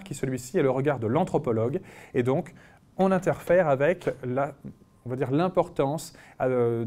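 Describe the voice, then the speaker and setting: Male doctor giving a conference talk, slow careful lecture voice, lots of little pauses and restarts. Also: medium pitch, darkish tone. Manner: measured, hesitant, many pauses and restarts. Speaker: physician lecturer. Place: conference room.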